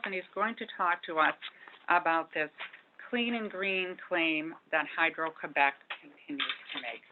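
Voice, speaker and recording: A woman moderating a panel, giving an earnest, emphatic advocacy speech before introducing the speaker. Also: on the low side. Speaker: event organizer, moderator. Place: meeting room. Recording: phone-like narrow audio.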